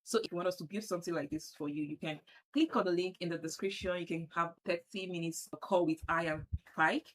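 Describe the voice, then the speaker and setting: A Nigerian woman with a Nigerian accent walking viewers through a software setup on screen, often repeating herself as she clicks. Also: lowish voice, brisk, low-quality recording. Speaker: tutorial presenter. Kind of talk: screen-share software tutorial.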